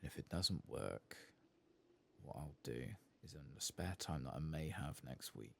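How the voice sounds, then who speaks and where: Very deep, soft-spoken man with gravelly creak. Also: animated, unhurried. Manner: hesitant, muttering to himself as he thinks aloud. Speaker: host of a video game playthrough channel. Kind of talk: soft-spoken ASMR game commentary with whispering.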